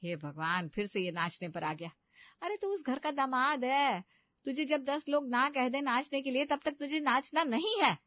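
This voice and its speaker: A woman's voice: high voice, animated, moderate pace, no room sound, highs cut off, pitched above the performer's own voice. A woman voicing a bossy, insistent middle-aged mother in a Hindi comedy cartoon. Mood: exasperated.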